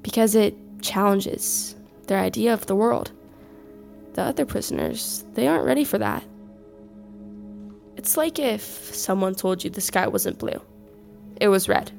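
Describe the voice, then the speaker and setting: Student presenter: creaky female voice, mid pitch, earnest, deliberate, pausing. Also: sing-song, a little mellow, faint music behind, exaggerated voice. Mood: earnest, thoughtful.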